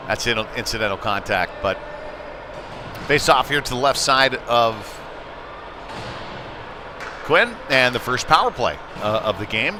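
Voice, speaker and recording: A man doing live play-by-play commentary of youth hockey in a conversational way that can rise into excitement on big plays. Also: medium pitch, unhurried, pauses often. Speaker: play-by-play sports broadcaster. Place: ice rink, live broadcast. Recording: noisy arena ambience behind the voice.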